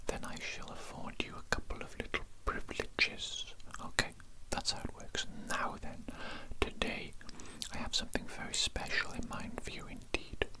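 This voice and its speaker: A man's voice: normal speed, hardly any pauses, natural voice, low-quality recording. A man voicing an evil cannibal doctor in a whispered ASMR roleplay, formal and politely domineering, repeating himself as he asserts control. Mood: controlled, menacing. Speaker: ASMR performer.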